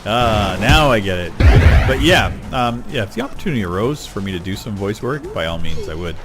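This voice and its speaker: A middle-aged man rambling casually while playing a video game, getting excited over loot. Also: on the low side, a little fast. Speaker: gaming YouTuber. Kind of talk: casual let's-play commentary. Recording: game music behind voice. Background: game music.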